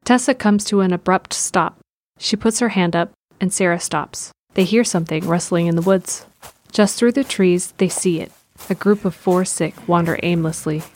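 A woman's voice: fairly low pitch, normal speed, a little mellow, no room sound. A woman narrating a scripted audio drama in the present tense, describing the action.

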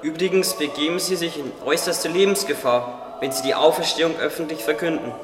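A man's voice: fairly high pitch, fairly quick, natural voice. A man playing a playful, loyal talking bird in a German radio play. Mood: earnest. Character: playful teasing bird, earnest loyal friend. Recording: distant, echoey hall.

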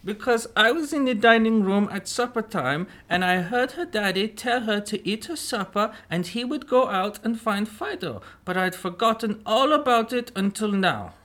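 A woman's voice: medium pitch, expressive, moderate pace, hardly any pauses, a bit bright, pitched above the performer's own voice, close to an everyday voice. A woman voicing earnest, helpful toy dolls in a children's storybook reading. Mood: concerned.

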